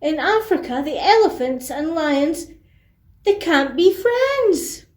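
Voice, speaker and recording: A woman acts out an earnest elephant from a children's storybook. The voice is raised high and calls out emphatically, taking its time. It echoes in the room. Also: sing-song, takes it slowly, a little mellow, heavily acted. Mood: dismayed. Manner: calling out, emphatic.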